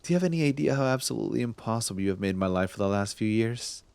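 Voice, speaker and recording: A man playing a smug cop secretly smitten with a thief in an intimate ASMR roleplay, teasing and halting, sometimes dropping to a breathy murmur. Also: on the low side, lively intonation, darkish tone, no room sound. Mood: wistful, smitten. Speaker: voice actor in audio roleplay. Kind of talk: ASMR roleplay acting, addressed to the listener.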